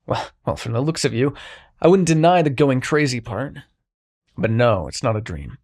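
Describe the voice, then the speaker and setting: Man playing exasperated god of dreams, widely swooping pitch. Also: animated, moderate pace, darkish tone. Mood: exasperated, bossy. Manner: sarcastic, profane, sighing, addresses listener as mortal. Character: exasperated, sarcastic god of dreams, a grumbling Sandman. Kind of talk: intimate scripted roleplay audio drama monologue.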